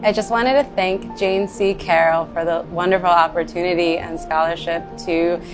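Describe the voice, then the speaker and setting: Flat-voiced woman in her twenties. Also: on the low side, normal speed, over music, low-quality recording. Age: 20s. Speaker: painting student.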